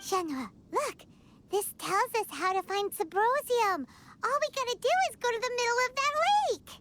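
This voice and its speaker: Shrill tiny fairy sidekick. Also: female voice, lively intonation, normal speed, noisy background. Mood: excited. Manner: eager, bossy, impatient. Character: tiny excitable floating fairy companion, female. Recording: game audio with music behind.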